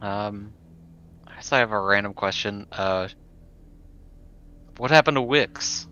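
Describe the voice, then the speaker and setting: A man playing a tabletop roleplaying game, talking casually and haltingly as he improvises his part. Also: lowish voice, bright, forceful tone, slight background noise. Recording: dull, lo-fi audio.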